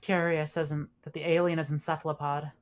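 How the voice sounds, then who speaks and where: A woman's voice: low-pitched, little pitch movement, brisk, close to an everyday voice. A woman playing a commanding, skeptical spaceship officer in a table read of a sci-fi horror script.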